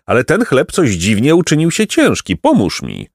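A man's voice: medium pitch, wildly swooping pitch, normal speed, no echo, studio quality, voice pushed up. A man voicing a thoughtful, troubled young boy in a storybook, puzzled and hesitant. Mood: puzzled, weary. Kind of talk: storybook character reading.

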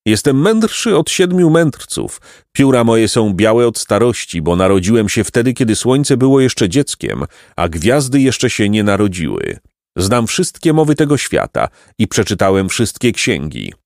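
A man's voice: on the low side, sing-song, unhurried, gravelly, darkish tone, no echo, clean studio sound, pitched above the performer's own voice, exaggerated voice. Man voicing an ancient, stern storybook raven. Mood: proud, solemn. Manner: solemn, condemning, oracular. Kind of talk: storybook character reading.

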